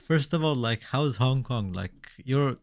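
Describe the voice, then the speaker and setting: A man's voice: mid-range voice, expressive, measured pace. A man whose first language is Arabic, hosting a casual interview over a video call and often restarting his words. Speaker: podcast host. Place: home near Tokyo.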